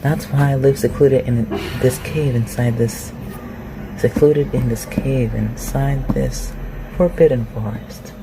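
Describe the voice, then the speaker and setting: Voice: medium pitch, steady tone, takes it slowly. A performer voicing a curious, awkward, chatty shapeshifter recluse who asks hesitant, rambling questions in a roleplay ASMR audio. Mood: guarded. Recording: clearly noisy home recording.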